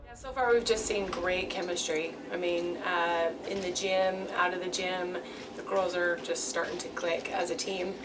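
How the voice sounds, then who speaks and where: A woman's voice: lowish voice, fairly even intonation, moderate pace, far from the mic. A woman, a coach giving spontaneous interview answers. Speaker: college volleyball coach. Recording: off-mic, noisy ambient background.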